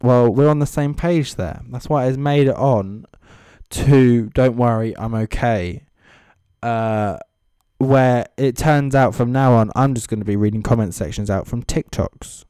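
Mellow-voiced man riffing sarcastically. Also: medium pitch, a little slow, clean tone, no echo, studio quality. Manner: sarcastic, mocking asides; sweary. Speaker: comedy host reading social media comments. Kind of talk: casual off-the-cuff comedic commentary.

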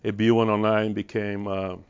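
A man's voice: lowish voice, flat delivery, moderate pace, darkish tone, clean tone. A middle-aged man with a Brazilian accent, giving a presentation to an audience and often restarting and repeating words. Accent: Brazilian Portuguese. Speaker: regional director of mental health programs. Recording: dull lo-fi sound.

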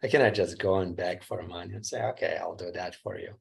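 Gravelly Ukrainian-accented middle-aged man. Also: deep voice, brisk. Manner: plainspoken, slightly broken English. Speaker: guest entrepreneur. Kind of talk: casual interview storytelling.

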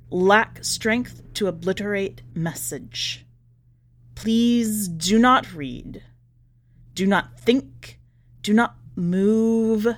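A doomed prisoner's inner voice, acted by a woman narrator, bleak and desperate. The warnings come in short, clipped phrases, as in a dramatic story reading. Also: mid-range voice.

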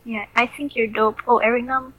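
A young woman co-hosting a podcast in casual chat. She rambles and restarts her sentences, with laughs between thoughts, on a rough home recording. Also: on the high side, flat delivery, moderate pace, a bit bright, dry recording, poor audio. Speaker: podcast co-host.

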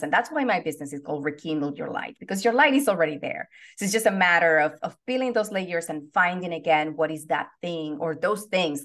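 A woman's voice: fairly low pitch, fairly bright tone. A woman from Venezuela with a Venezuelan Spanish accent, answering interview questions in a bubbly, earnest way as a guest coach. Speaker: soul purpose coach, guest.

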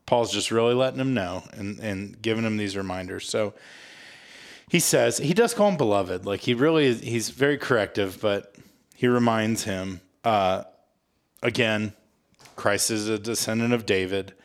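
Low-voiced man, casual podcast co-host. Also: normal speed. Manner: jokey, self-mocking, informal phrasing. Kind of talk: casual scripture recap chat.

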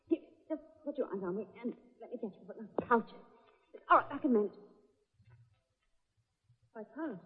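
An actress playing an anxious, devoted detective's companion with a husky voice in old radio drama style. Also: female voice, sits high. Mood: alarmed. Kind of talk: 1940s radio drama acting. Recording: tinny, reverberant old radio recording.

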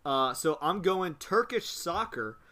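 A man's voice: fairly high pitch, lively intonation, slow pace, bright, forceful tone. A young man hosting a casual sports-betting podcast chat, loose and joking, with lots of filler "uh"s. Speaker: podcast host running the picks.

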